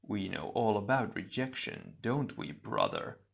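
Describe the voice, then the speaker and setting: Male reader playing icy shadow warrior, low hushed voice, slow, bleak, insinuating, fantasy storybook. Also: huge pitch swings, creaky, exaggerated voice. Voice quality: soft, hushed. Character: cold, brooding shadow double of an ice warrior.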